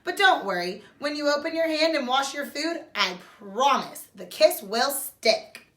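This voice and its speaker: A woman voicing a gentle, reassuring mother raccoon in a storybook, with a soothing, encouraging manner. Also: high-pitched, expressive, measured pace, voice pushed up. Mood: gently teasing. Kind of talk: storybook read-aloud. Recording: roomy echo.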